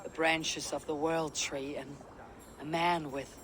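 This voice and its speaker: A man playing a loyal, grave Viking warrior in a video game, measured and earnest in speech. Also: on the high side, level tone. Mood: troubled, earnest. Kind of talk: dramatic video game cutscene acting. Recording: loud sea and wind noise behind.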